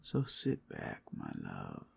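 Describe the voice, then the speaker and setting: A man playing a big bad werewolf as a tender, protective lover in an intimate ASMR roleplay, crooning soothingly in a gravelly, breathy half-whisper. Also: very deep voice, wildly swooping pitch, takes it slowly, exaggerated voice. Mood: tender, teasing. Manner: soothing, crooning, coaxing with pet names.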